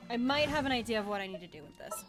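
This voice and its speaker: Woman streaming a game over loud music. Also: on the high side, expressive, rapid-fire. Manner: offhand, slangy, talks to the game. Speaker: live streamer playing a game. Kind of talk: casual gaming commentary.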